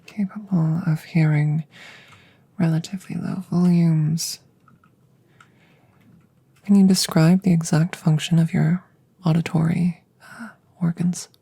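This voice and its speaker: Alien scientist, played by a woman: female, hushed ASMR delivery, formal and curious, low mellow voice, close mic. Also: flat delivery, vocal fry, breathy edge, full character voice. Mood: curious, clinical. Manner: measured, formal, deliberate. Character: calm, clinical, curious female alien scientist examining a visitor. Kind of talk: ASMR roleplay, close whispers and soft speech. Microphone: close microphone.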